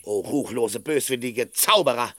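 A man in a German radio play acting a wily, excitable squire, exclamatory and mock-pompous. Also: medium pitch, moderate pace, no room sound, lightly acted. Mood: indignant cursing.

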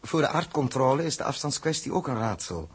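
An actor playing a harried, conciliatory spaceship captain in a 1960s radio drama, with weary authority. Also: male voice, mid-range voice, sing-song, a little fast, husky. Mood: weary, measured.

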